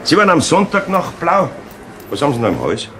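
An actor playing a middle-aged Munich chief inspector in a crime radio play, with a Bavarian accent and a dry, ironic, courteous authority. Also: male voice, fairly high pitch, sing-song, brisk, fairly bright tone. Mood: dry questioning. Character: wry, unhurried male Munich police chief inspector. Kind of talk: 1970s German crime radio play acting. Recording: noisy old 1970s radio recording.

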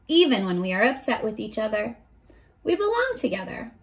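A woman in her twenties reading aloud and teaching young children in an animated, encouraging way, pausing to ask questions, recorded at arm's length indoors. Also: high voice. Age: 20s. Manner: animated, encouraging, asks questions and pauses for answers. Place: small furnished bedroom, indoors.

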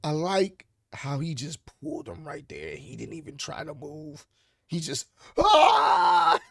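A man in his thirties with a hoarse voice, hosting a casual reaction video from a home streaming room on a studio mic, chatting easily and breaking into loud laughs and shouts. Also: on the high side, wildly swooping pitch, slow, very noisy. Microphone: studio mic on arm, headphones.

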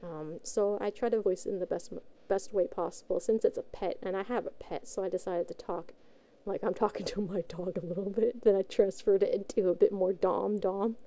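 A woman's voice: medium pitch, steady tone, a little fast, dark tone, low-quality recording. A woman hosting her own audio channel, chatting casually to listeners in a rambling, informal, self-effacing way. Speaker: amateur voice actor, channel host.

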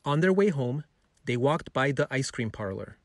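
A man's voice: mid-range voice, animated, normal speed, dry recording. Male storybook narrator, dry studio sound. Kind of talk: scripted children's bedtime story narration.

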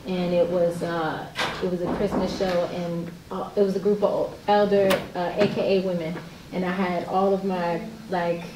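A woman's voice: lowish voice, moderate pace, noisy background. Chatty woman painter in a roomy hall. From Puerto Rican heritage. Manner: chatty, animated, with many fillers. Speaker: panel artist, painter. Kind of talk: casual panel talk. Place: large hall, on stage. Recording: echoey hall from far off.

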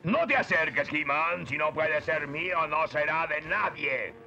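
A man playing a bad-tempered, scheming sorcerer villain in a dubbed cartoon, with a gravelly, menacing, theatrical delivery. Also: fairly high pitch, measured pace, muffled recording, very noisy. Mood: angry, scheming. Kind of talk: cartoon dub acting.

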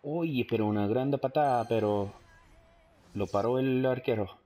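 Chilean-tinged Spanish from an English speaker. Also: male voice, mid-range voice, unhurried, faint music behind. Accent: Chilean Spanish, English-speaking learner. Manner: mixes Spanish with English, hesitant, frequent fillers; bursts of loud excitement at plays. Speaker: gaming YouTuber. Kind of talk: casual gameplay commentary.

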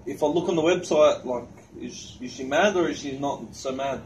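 A man's voice: medium pitch, normal speed, darkish tone. A man in his thirties with an Australian accent, talking in crude casual slang as a prank caller. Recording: distant phone-camera pickup with noise.

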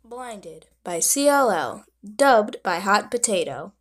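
A woman's voice: mid-range voice, sing-song, takes it slowly. A woman announces her amateur fan dub. She reads it as a short, simple title card. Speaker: fan dubber.

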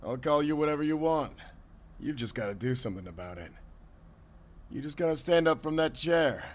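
A man's voice: a little high, expressive, a little fast, close to an everyday voice. A man playing a mocking, condescending, swaggering kidnapper henchman in an audio roleplay. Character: taunting, cocky male kidnapper henchman guarding a captive.

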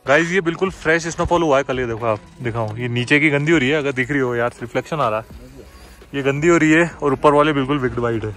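A man in his thirties narrating a casual, excited travel vlog to his viewers while driving a car along a mountain road. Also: medium pitch, fast talker, faint music behind. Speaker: travel vlogger.

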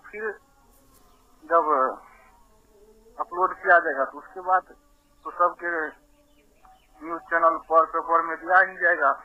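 A man's voice: on the high side, no echo. A man on a recorded phone call, answering queries as an official, hesitant and repeating himself. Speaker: exam board helpline official. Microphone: telephone.

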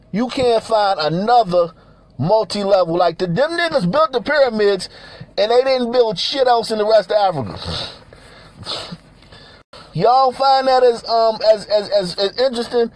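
A man's voice: sits high, expressive, moderate pace, darkish tone, dry recording. A man from Nigeria giving blunt, profane, repetitive commentary over pictures, often laughing between points.

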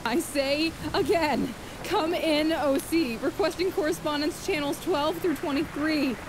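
A desperate high-voiced space comms officer. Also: female voice, unhurried, background noise. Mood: urgent, pleading. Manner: formal radio-call phrasing, urgent. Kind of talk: sci-fi audio drama acting.

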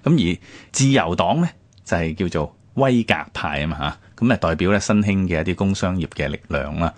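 Low-voiced, quick Hong Kong Cantonese man. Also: lively intonation, no echo. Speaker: radio programme co-host. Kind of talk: conversational history commentary on radio.

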